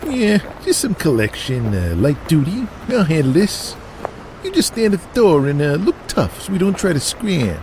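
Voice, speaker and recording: An actor playing a skinny, cocky, hot-headed Italian-American mob collector in an audio drama. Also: male voice, a little high, big pitch swings, moderate pace, background noise. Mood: brash. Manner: wise-guy swagger, profane.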